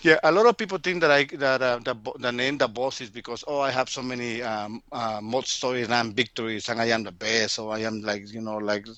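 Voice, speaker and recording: Guatemalan-accented man, bright tone, mild stutter, dry close sound; a storyteller talking to an interviewer from afar. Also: mid-range voice, steady tone, fairly quick, few pauses, no echo. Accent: Guatemalan Spanish. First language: Spanish. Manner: mild stutter, repeats words. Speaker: guest storyteller. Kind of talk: casual interview talk. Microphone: remote call.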